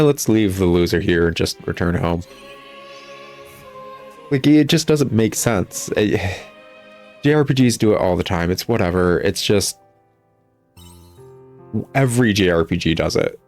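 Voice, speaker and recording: A man's voice: deep voice, a little mellow. A man giving casual gameplay commentary in a sarcastic, exasperated, griping manner. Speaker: gamer critiquing a video game. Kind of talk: casual gameplay commentary, reading dialogue aloud. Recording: music behind the voice. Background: video game music.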